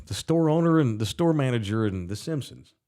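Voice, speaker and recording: A man with a mid-range voice hosts a casual sports talk show. He is wry and sardonic, and he runs the sponsor reads and viewer shout-outs. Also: mid-range voice, lively intonation, fairly quick. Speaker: host.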